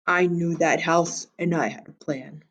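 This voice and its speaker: A woman's voice: lowish voice, fairly even intonation, measured pace, a bit bright. A woman voicing a sly, hungry storybook wolf who narrates his tale to children in a wry, theatrical, confiding way. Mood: hungry, scheming.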